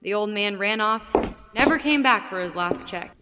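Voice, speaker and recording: A woman's voice: mid-range voice, expressive, normal speed, big-room sound, muffled recording, background noise, close to an everyday voice. A woman playing a scheming, jealous wife in a horror audio drama, with a hard-edged, sardonic delivery. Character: scheming, jealous wife narrating her dark confession. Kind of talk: audio drama narration and dialogue.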